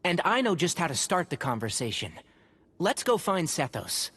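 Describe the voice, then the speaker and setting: A man's voice: on the high side, very animated, normal speed, a bit bright, breathy edge, dry recording, heavily acted. An actor playing a thoughtful, polite young male healer in a video game, articulate and earnest as he reasons things through. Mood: confident. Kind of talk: video game voice acting, conversational explanation. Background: game music.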